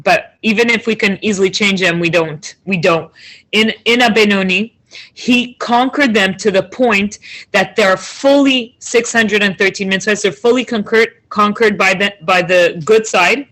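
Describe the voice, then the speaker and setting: A woman's voice: medium pitch, moderate pace, fairly bright tone, low-quality recording. Woman teacher, online scripture lesson, reads a line then explains it, conversational, keeps asking "okay?". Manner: conversational, with restarts and checks of "okay?". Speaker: Torah class teacher. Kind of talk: online text class, reading and explaining. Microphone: video call.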